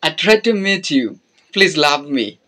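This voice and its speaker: A man's voice: a little high, expressive, measured pace, sharp tone, a little hiss or hum. Joking Bangladeshi man on a video call. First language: Bengali. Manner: playful and flirty, laughs often. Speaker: host practicing English with a foreign stranger. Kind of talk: casual teasing chat. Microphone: online video chat.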